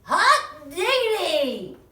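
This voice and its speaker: Casting: a reader playing a dreamy, stubborn little mole; very high, slow and bright, sung lines, recorded far off in an echoing room. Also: female voice, very animated, exaggerated voice. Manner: sing-song, slow and wondering. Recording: roomy classroom, mic far off, background noise.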